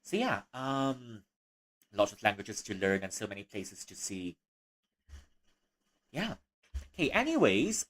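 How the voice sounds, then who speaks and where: A young man from Malaysia chatting casually on a livestream, rambling with frequent restarts and soft laughs. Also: medium pitch.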